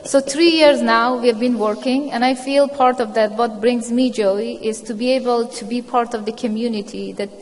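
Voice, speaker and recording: A woman's voice: a little high, fairly even intonation, moderate pace, hardly any pauses. A woman journalist whose first language is Dari, speaking with an Afghan accent, earnest and reflective on a panel. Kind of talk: panel discussion. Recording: reverberant hall.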